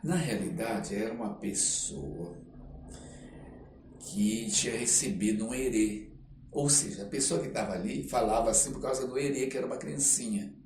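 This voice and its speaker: An older Brazilian man shares his stories as an interview guest in a conversational, reflective manner. He is expressive and often seeks agreement as he goes. The recording is roomy, with him set back from the mic and faint noise underneath. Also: mid-range voice, moderate pace, far from the mic. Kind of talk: conversational storytelling.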